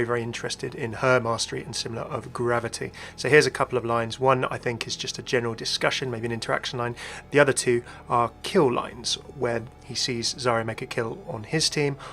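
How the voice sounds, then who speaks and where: A man's voice: mid-range voice, pushed and bright. A man hosting a video game lore commentary, speculating aloud and speaking to the viewer in conversational asides. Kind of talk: scripted-sounding video commentary.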